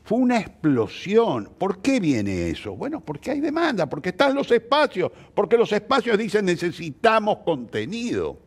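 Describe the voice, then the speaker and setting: A man's voice: high voice, huge pitch swings, normal speed, few pauses, husky. An older man with a Rioplatense Argentine accent, explaining things conversationally in an interview, emphatic and didactic, posing rhetorical questions. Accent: Argentine Rioplatense Spanish. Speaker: playwright interviewee.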